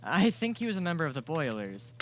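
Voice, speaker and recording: A man's voice: a little high, big pitch swings, a little fast, slight background noise. A man playing a determined, headstrong young man in an amateur audio drama, earnest and insistent. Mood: speculative.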